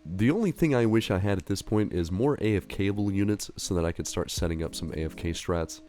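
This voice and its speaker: A man giving casual, rambling gameplay commentary as a voice-over, his voice nasal and a little hoarse from a recent illness, with frequent fillers. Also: low voice, animated, brisk, warm tone. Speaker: gaming channel host. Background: guitar music.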